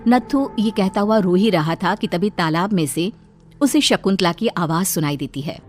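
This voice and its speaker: Steady woman narrating a cartoon tale. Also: fairly low pitch, moderate pace.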